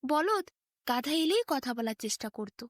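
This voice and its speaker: Higher-pitched woman narrating a children's story. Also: big pitch swings, unhurried, no echo, clean studio sound.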